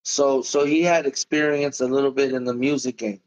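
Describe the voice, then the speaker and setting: A man's voice: mid-range voice, steady tone, moderate pace, poor audio. A musician guest in a casual interview, speaking in loose run-on sentences and calling the host "man" and "bro".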